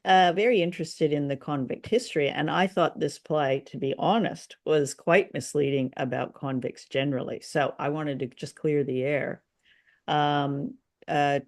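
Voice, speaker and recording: A middle-aged man co-hosting a podcast, casually discussing and explaining things in a chatty way. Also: on the high side. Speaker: podcast co-host. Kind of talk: casual discussion of a radio drama.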